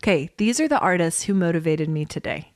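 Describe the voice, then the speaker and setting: A woman in her forties co-hosting a podcast, telling stories in casual, animated, self-mocking banter. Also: lowish voice, brisk, no room sound, clean studio sound. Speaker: podcast co-host.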